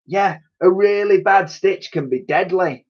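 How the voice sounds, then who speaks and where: A man's voice: high-pitched, unhurried, voice pushed up. A man voicing a plucky, lovestruck old soldier in a storybook, earnest and melodramatic, on a dull recording. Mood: earnest, plaintive.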